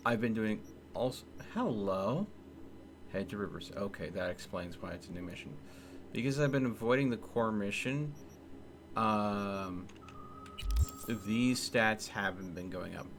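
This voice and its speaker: A man giving casual live commentary on a video game, playful and talking to himself. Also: fairly low pitch. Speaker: game streamer. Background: game music, vehicle sounds.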